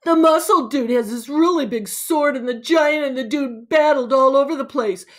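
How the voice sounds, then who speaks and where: A woman's voice: sits high, moderate pace, lightly acted. A woman voicing a cocky boy who tells an action story in a blunt, slangy, sardonic way, as a storybook read-aloud. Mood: boastful, excited.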